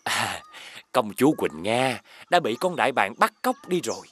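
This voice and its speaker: A man playing a sly, wheedling older sworn brother in an animated fairy tale, with a southern Vietnamese accent and an ingratiating, theatrical manner. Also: mid-range voice, moderate pace, slightly rough. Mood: pleading.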